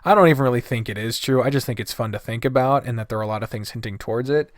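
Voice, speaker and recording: A man's voice: medium pitch, very fast, dry recording, studio quality. A man giving a casual, opinionated review rant in a blunt, exasperated, swear-heavy way. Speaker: video host.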